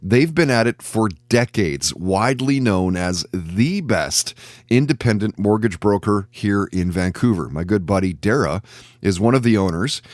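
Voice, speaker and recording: A man's voice: fairly low pitch, no room sound, studio quality. A man hosting a podcast, reading sponsor spots and introducing guests. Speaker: podcast host.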